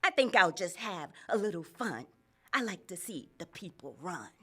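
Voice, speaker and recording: A woman voicing a mischievous, excitable little shepherd boy in a radio storybook drama, teasing and calling out shrilly. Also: on the low side, normal speed, slightly rough, heavily acted. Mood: scheming.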